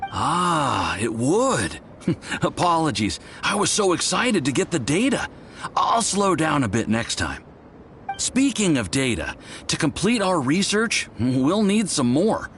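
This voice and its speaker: A man's voice: on the high side, sing-song, unhurried, a bit bright, slight background noise, heavily acted. A man voicing an earnest, deferential young research assistant in an anime-style video game, polite and apologetic in manner. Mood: sheepish, respectful.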